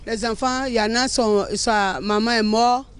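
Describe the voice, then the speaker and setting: A woman's voice: a little high, fairly even intonation, a little slow, smooth. A woman interviewed outdoors speaks plainly and wearily about her situation. She talks simply, without flourish. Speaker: evicted resident. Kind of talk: street interview.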